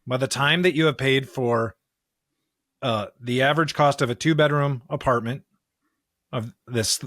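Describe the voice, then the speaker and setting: A man's voice: mid-range voice, fairly bright tone, no room sound, studio quality. An American man co-hosting a religious discussion podcast, speaking earnestly and emphatically and repeating phrases to build his points. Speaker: podcast co-host, preacher.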